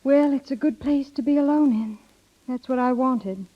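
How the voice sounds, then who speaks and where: A woman's voice: high-pitched, moderate pace. An actress playing a proud, independent young woman in the style of 1930s radio drama. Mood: subdued, resolute. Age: young adult. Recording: dull, band-limited 1930s radio broadcast.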